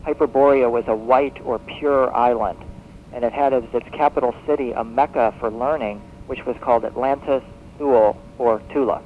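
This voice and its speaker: A man speaking as a guest expert in a radio interview, explaining in a halting, expository way and repeating words as he goes. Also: medium pitch, steady tone, phone-quality sound. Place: radio broadcast, taped off air. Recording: thin, noisy shortwave radio broadcast on cassette.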